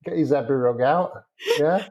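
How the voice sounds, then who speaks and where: A man's voice: on the high side, moderate pace, a little mellow. A man with a broad Yorkshire accent talks in loose, chatty podcast conversation. He tells stories about his work with a self-mocking, easygoing humour and leans on local dialect. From Yorkshire, England. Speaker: wedding photographer guest. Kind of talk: casual podcast chat.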